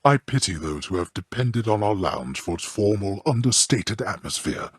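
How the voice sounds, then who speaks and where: A haughty god-king of the underworld for a video game, with a very deep and heavily rasping voice. His speech is formal and dryly contemptuous, swinging widely in pitch as he passes judgment like a stern father. The sound is close and dry. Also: animated, normal speed, no room sound. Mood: scornful, irritated. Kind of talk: theatrical video game character dialogue.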